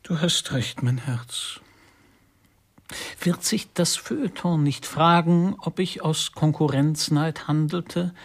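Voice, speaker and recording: Slow, creaky-voiced pompous artist. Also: male voice, a little high, full character voice. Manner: stilted, florid, slow dictation. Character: pompous, embittered male artist dictating his testament. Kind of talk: German radio play acting.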